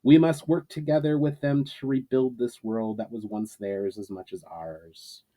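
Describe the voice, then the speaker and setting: A man voicing an earnest, solemn leader giving a measured, declamatory speech in a storybook reading. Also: mid-range voice, steady tone, warm tone, close to an everyday voice, poor audio. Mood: hopeful, earnest. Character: earnest, solemn male leader addressing assembled armies.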